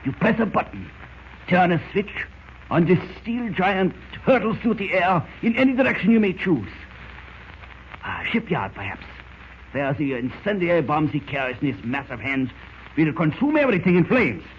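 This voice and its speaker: A cunning, grandiose German spymaster from an old radio serial. The German accent is formal and deliberate, and the pitch swoops dramatically from hushed menace to gloating. The recording is dull and scratchy, with audible noise. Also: male voice, very animated. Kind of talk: 1940s radio acting.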